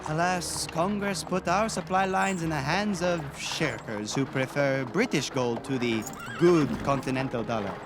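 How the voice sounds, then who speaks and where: An actor playing a dashing young general, speaking in gallant, flowery phrases. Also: male voice, fairly high pitch, a little slow, few pauses. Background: music score.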